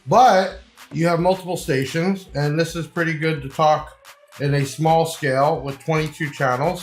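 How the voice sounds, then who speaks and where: A man's voice: fairly high pitch, slight background noise. A man giving a casual, off-the-cuff product review, explaining things informally like a salesman. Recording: echoey room, faint music behind.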